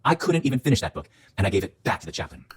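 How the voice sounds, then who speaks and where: A man delivers an earnest, rehearsed religious testimony at a quick pace. His husky voice swings widely in pitch, with emphatic phrasing. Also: very animated.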